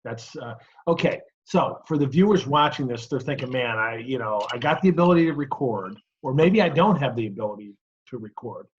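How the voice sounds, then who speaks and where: A man's voice: medium pitch, lively intonation, a little fast, slightly rough, muffled recording. A middle-aged man hosting a conversational interview, speaking in short phrases and sharing personal examples. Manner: breaks points into short phrases, uses personal anecdotes. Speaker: interview host.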